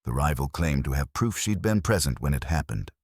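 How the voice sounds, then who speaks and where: A man narrates a detective story in an extremely low voice. His delivery is wry and wisecracking, with lively swings in intonation. The sound is dry and close, with no room around it. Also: brisk, slightly rough, no room sound. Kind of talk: scripted first-person detective audiobook narration.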